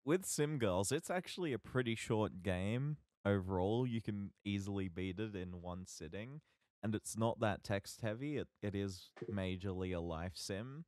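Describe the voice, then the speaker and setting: A man co-hosting a podcast in casual chat, halting as he searches for his words. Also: on the low side, lively intonation, darkish tone, no room sound, clean studio sound. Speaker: podcast co-host.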